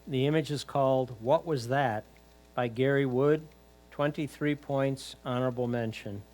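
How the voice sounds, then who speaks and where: A man's voice: mid-range voice, measured pace, a little mellow, low-quality recording. A man reading out titles and scores at a competition in a formal, matter-of-fact way.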